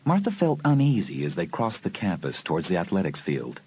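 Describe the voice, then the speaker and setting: A man's voice: low-pitched, wildly swooping pitch, brisk, a little mellow, husky, exaggerated voice. A man narrating a scripted horror story as part of an audiobook dramatisation.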